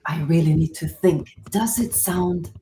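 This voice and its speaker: A woman's voice: deep voice, unhurried, warm tone, reverberant, distant mic, slight background noise. A woman with a Swedish accent, English not her first language, talking hesitantly in a casual online roundtable. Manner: hesitant, searching for words. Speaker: small creator on a panel.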